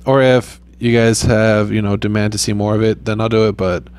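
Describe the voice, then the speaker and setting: A young man casually talking over his mobile gameplay in a rambling, laid-back way, with a flat, low-key voice and loose swearing. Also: fairly quick, clean tone. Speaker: gaming YouTuber.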